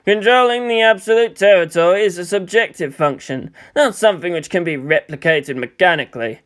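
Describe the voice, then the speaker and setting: A man's voice: high voice, a little fast, fairly bright tone. Man playing a bitter, muttering scientist. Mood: dry. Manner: muttering, technical. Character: bitter, galled male scientist, resigned and dry.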